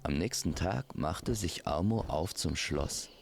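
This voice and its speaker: A man narrating a scripted fantasy audio story in a measured, lyrical storytelling manner. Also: a bass voice, animated, vocal fry, soft background music.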